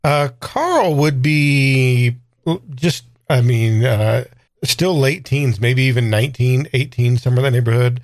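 A man's voice: mid-range voice, measured pace, no room sound, studio quality. A man talking casually as a player in a tabletop game, improvising what his character does.

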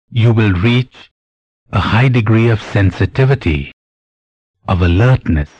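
A man with a fairly low voice leads a guided meditation. He speaks very slowly and deliberately, leaving pauses between phrases as he gives instruction. The recording is close and dry. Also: a little mellow, no room sound, muffled recording. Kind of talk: guided meditation, scripted spiritual narration.

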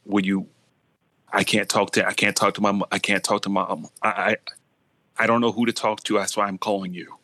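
A man playing a nervous young man calling to come out to his family, halting and repeating his words. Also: lowish voice, little pitch movement, bright, forceful tone, no echo. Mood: hesitant. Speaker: prank caller.

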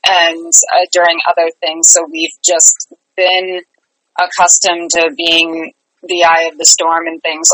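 A woman's voice: lowish voice, a bit bright, no room sound, rough recording. A woman chatting casually and upbeat as a guest in an interview about her work. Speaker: theater company artistic director, guest.

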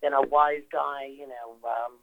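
A woman's voice: very deep voice, fairly even intonation, a little slow, gravelly, no echo, narrow, tinny audio. Older woman guest calling in, rambling and chatty, dry close sound. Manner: chatty, rambling with many fillers and restarts. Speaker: actress guest. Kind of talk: phone-in interview. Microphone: phone call.

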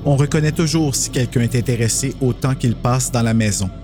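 A man's voice: mid-range voice, fairly quick. A man reading scripted story narration. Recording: music clearly under the narration.

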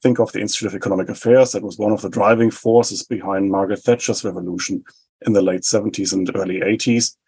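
German-accented man, forties, husky, lowish voice, measured explainer. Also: steady tone, warm tone. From West Germany. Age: 40s. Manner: measured, explanatory, rhetorical lists. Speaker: think tank head, guest. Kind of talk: interview answers.

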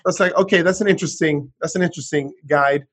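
Animated man telling his story. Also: fairly high pitch, fast, breathy edge. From Sweden. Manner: animated storytelling, frequent restarts. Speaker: author and entrepreneur guest. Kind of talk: conversational interview.

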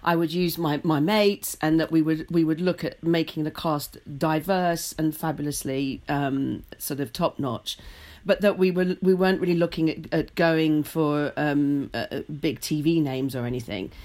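A woman's voice: deep voice, fairly even intonation, normal speed, a little mellow. A middle-aged British woman chatting as an interview guest, telling stories with stammered restarts. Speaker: guest, pantomime writer and director. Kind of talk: casual interview chat.

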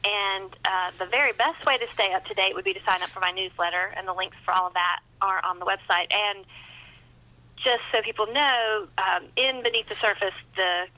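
A woman guest author talks in a casual interview. Her voice is very bright and forward, at a middling pitch. She is chatty and a little self-deprecating. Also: steady tone, normal speed, rough recording.

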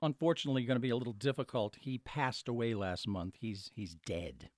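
A man playing an exasperated, grieving adult son on a call to a cable company in an audio comedy, halting as he speaks. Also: medium pitch, a little mellow, no echo, natural voice. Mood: strained, frustrated.

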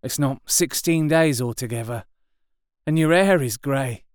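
A man voicing a hoarse, wary, filthy soldier who speaks urgently and guardedly. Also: medium pitch, a little slow, dry recording, clean studio sound, voice pushed up, natural voice.

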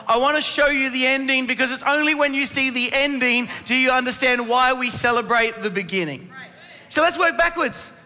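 Male preacher, laryngitis-strained but bright and forceful, addressing a congregation in a long-reverb hall. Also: very high-pitched. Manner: rhetorical questions, instructive, addressing the congregation directly. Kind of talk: Christmas sermon to a congregation. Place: church hall.

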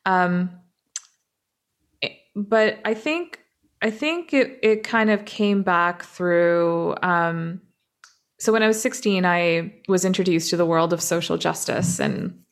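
A young woman who grew up in Canada, speaking as a guest in an interview and talking thoughtfully, with frequent pauses, fillers and restarts. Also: fairly low pitch. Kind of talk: reflective interview talk.

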